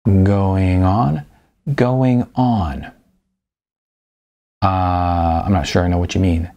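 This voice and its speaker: Man playing an evasive, gravelly aging journalist. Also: low voice, mellow, full character voice. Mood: stammering, defensive. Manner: halting, hedging. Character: evasive, awkward aging male journalist, self-deprecating. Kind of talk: audiobook character dialogue.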